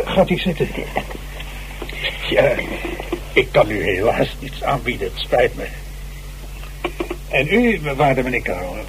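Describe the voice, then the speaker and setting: An actor playing an ageing, self-dramatising stage actor jailed for murder, halting and theatrical, in a radio drama. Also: male voice, medium pitch. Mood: courteous, weary. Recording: hissy old radio recording.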